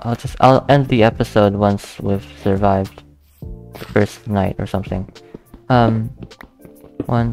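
A young man who is not a native English speaker, hosting a casual gaming let's play, thinking aloud with hesitant fillers and counting softly to himself. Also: fairly low pitch. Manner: hesitant, thinking aloud, counting under his breath, nervous laughs, easily spooked. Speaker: gaming channel host. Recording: faint background music under the voice. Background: soft background music.

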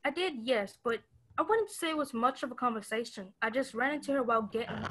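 A woman's voice: fairly high pitch, brisk, fairly bright tone, rough recording. A woman playing a put-upon maid in a mystery radio play, polite and earnest but with some defiance. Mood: nervous. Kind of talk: stage-style mystery radio play acting.

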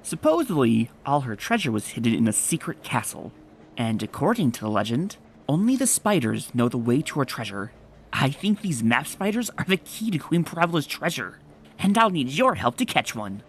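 A woman voicing a bold, confident female adventurer pony in a comic dub, talking briskly and commandingly. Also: very deep voice, very animated, slight background noise, voice pushed down, full character voice. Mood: explaining.

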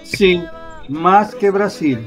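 A man's voice: high voice, extremely slow, soft background music. A man patiently explaining history as a storyteller in a radio drama.

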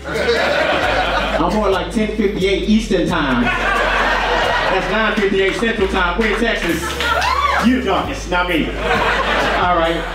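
Young male comedian, punchy shouted delivery, roomy distant club recording. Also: high voice. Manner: loud, animated, punchy delivery with shouted bits. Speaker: stand-up comedian. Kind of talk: stand-up comedy set. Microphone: handheld mic. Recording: echoey club heard from far off. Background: crowd laughing.

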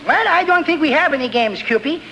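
An actor playing an excitable, genial neighbor who mangles English in 1940s radio comedy. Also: male voice, very high voice, fairly quick, creaky, full character voice. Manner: malapropisms, exclamatory. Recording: very noisy vintage radio broadcast recording.

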